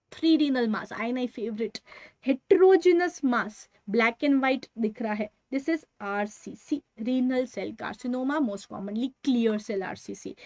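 Dry, high-voiced Indian woman teaching. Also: darkish tone, no room sound, dull audio. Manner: emphatic, instructive, checking in with short tags. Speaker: medical lecturer. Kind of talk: fast revision lecture, mixing in Hindi phrases.

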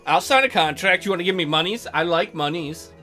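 Middle-aged male let's-player, crude and rambling, swinging pitch, game music underneath. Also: animated, brisk, pushed and bright. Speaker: let's play streamer. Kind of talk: joking game commentary and read-aloud.

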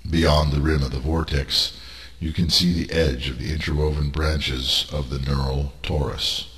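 Very deep-voiced man narrating guided meditation. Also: flat delivery, measured pace, fairly bright tone. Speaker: narrator of a spiritual training monologue.

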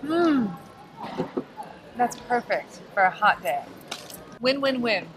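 A woman in her thirties chatting casually and playfully to a camera as a travel vlogger, excitable and jokey. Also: a little high, slow pace, noisy background. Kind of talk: casual playful vlog chat. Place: large busy brewery tasting hall and around the brewery. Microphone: camera at arm's length or on the table. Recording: loud music under handheld camera audio.

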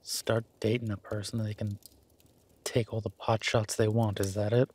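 Man acting as a husky, tender werewolf boyfriend. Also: lowish voice, flat delivery, normal speed, a little mellow. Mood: playfully teasing. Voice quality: husky, breathy. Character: affectionate easygoing male werewolf boyfriend, sappy romantic. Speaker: audio roleplay performer. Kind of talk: intimate boyfriend roleplay audio, casual one-sided chat.

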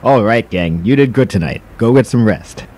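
A man's voice: medium pitch, expressive, normal speed, noisy background, natural voice. An actor playing an easygoing, encouraging male police sergeant in an audio drama.

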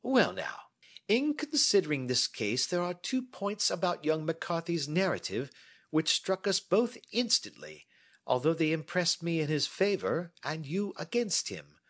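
A man's voice: fairly high pitch, sing-song, measured pace, fairly bright tone, voice pushed up, exaggerated voice. A man reading as a brilliant, self-assured Victorian detective who explains his deductions precisely. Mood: explaining.

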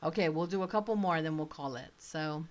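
A woman in her thirties talks into her webcam in a small room, her voice sitting a little low. A faint British accent colours her chatty, wandering commentary, full of asides as she thinks aloud. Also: fast. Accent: slight British. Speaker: author playing a choice game. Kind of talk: casual commentary.

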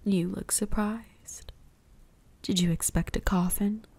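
An ancient vampire huntress, acted by a woman, speaks in an intimate ASMR roleplay. Her voice is dark, breathy and whispery, with a gravelly fry in it. She is predatory and seductive, teasing with condescension. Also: mid-range voice, steady tone, slow pace, heavily acted. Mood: condescending, amused.

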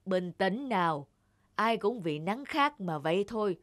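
A man voicing a big, gruff forest animal in a folk-tale cartoon, speaking slowly and weightily. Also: high voice, expressive. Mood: calm, heavy. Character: big, gruff male forest beast, bear or tiger. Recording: loud music underneath.

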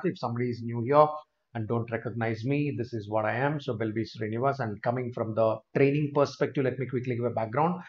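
A man in his thirties with an Indian English accent, teaching a live online class from a small room and chatting to viewers by name. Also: medium pitch. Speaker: test prep teacher. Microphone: webcam corner of screen share. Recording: slightly dull lo-fi stream audio.

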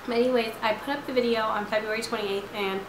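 A woman in her twenties, slightly hoarse from a lost voice, chatting casually to camera from her couch with lots of fillers. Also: fairly high pitch, fairly even intonation, fast talker, echoey room, background noise. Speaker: vlogger. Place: living room couch at night. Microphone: camera at close range.

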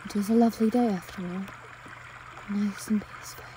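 A woman playing a desperate, tender young woman on the run with her lover in an old-fashioned amateur audio drama, halting and repeating her words. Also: mid-range voice, fairly even intonation, warm tone, natural voice. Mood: quiet, sorrowful. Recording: noisy ambience sound effects behind voice. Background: forest, stream and dungeon ambience effects.

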